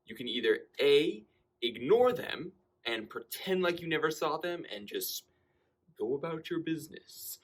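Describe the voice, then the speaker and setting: A man's voice: on the high side, a little slow, poor audio. Young man telling a casual personal story. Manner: animated delivery, acting out quoted dialogue.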